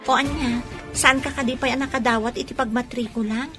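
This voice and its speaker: An actress with an Ilocano Filipino accent playing a concerned, questioning young student friend in a radio drama. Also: female voice, high voice, fairly even intonation, brisk, music in the background, close to an everyday voice.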